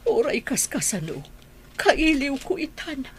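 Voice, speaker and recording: An actress with an Ilocano accent playing a sickly, sorrowful old mother, breathy and often whispering, in a radio drama. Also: high voice, very animated, unhurried, exaggerated voice. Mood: weary, longing. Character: sickly, sorrowful elderly mother, weary and longing. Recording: noisy ambience under voice.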